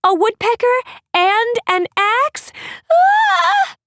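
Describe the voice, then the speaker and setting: Woman doing a squealing, jittery wooden girl, way up high. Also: lively intonation, moderate pace, no room sound. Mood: panicked. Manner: frantic, shrieking. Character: panicky wooden teen girl, high and shrill.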